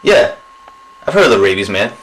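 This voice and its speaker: A man's voice: on the low side, sing-song, fairly quick, fairly bright tone, husky, full character voice, rough recording. A man playing a cocky young amateur monster hunter in a comic trailer. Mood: musing. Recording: music behind the voice.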